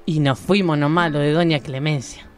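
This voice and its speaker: A woman's voice: sits low, fairly even intonation, moderate pace, loud music behind the voice, natural voice. An actress playing a feisty, outspoken small-town woman who narrates a radio drama in colloquial, emphatic Argentine Spanish. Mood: confiding, indignant.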